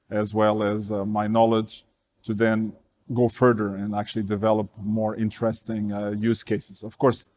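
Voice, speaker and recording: A man from Portugal, a guest in an interview, speaking in a measured way with frequent fillers. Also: lowish voice, darkish tone. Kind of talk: casual interview talk.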